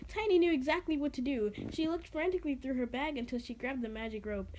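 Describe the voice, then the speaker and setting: A woman's voice: high voice, fast, a little mellow, a little hiss or hum, low-quality recording. A woman reading her own story dramatically, stumbling over words and adding casual, self-deprecating asides. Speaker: amateur reader of own creative writing.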